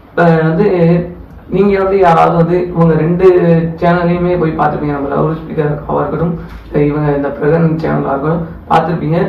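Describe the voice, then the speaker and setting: Fast, gravelly man in an echoey room. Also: a little high. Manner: colloquial, conversational, critical. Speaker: commentary video host. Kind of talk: casual reaction commentary. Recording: distant, echoey, dull with faint noise.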